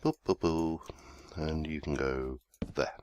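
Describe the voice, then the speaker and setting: A man's voice: rumbling low, steady tone, slow, darkish tone, a little hiss or hum. A man hosting a casual let's play, talking through his gameplay in a rambling, self-deprecating way while chesty with a summer cold.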